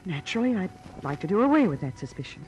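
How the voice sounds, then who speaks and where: Guarded radio-drama widow. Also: female voice, lowish voice, very animated, quick pace, darkish tone, highs cut off. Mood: guarded, restrained. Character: plain, estranged widow under suspicion, guarded and wounded. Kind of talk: 1950s radio drama acting. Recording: old radio recording, faint noise.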